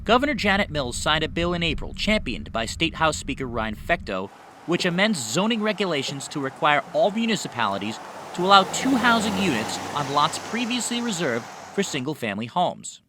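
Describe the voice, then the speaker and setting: Casting: American male TV news reporter, highly varied intonation, slightly high voice, scripted delivery. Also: fairly bright tone, a little hiss or hum.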